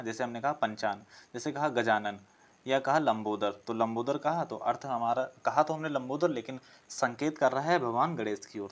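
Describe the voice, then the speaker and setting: A man teaching an exam-prep class, working through questions, asking them aloud, answering them and repeating key phrases as he explains. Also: medium pitch.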